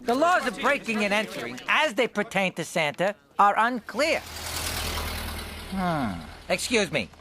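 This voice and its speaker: An actor playing a pushy, grumbling little penguin hustler in an animated film, brash and bossy. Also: male voice, high-pitched, unhurried, noisy background. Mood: officious. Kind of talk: animated cartoon voice acting.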